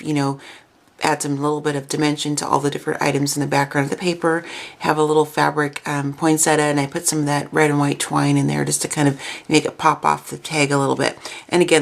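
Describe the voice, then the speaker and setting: A woman with a low, creaky voice hosts a casual craft video. She sounds raspy, as if coming down with a cold, and explains things in a chatty, informal way.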